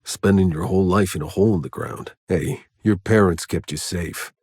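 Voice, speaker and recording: A man playing a gruff, wry, battle-worn soldier in a sci-fi video game. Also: low voice, moderate pace, a little mellow, no echo, studio quality. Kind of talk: video game voice lines, dramatic acting.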